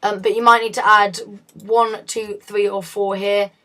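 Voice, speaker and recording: A woman with a hoarse, fading voice, talking through a game tutorial in a chatty, self-correcting way. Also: mid-range voice, level tone, a little slow, pushed and bright. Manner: chatty, self-correcting, often laughs at slips. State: hoarse, losing her voice. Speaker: video tutorial host.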